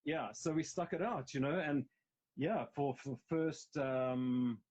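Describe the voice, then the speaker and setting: A man's voice: mid-range voice, takes it slowly, a little mellow. A middle-aged man with a South African accent, a guest actor telling his story candidly in a casual interview, rambling a little and restarting phrases. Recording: slightly dull, lo-fi sound.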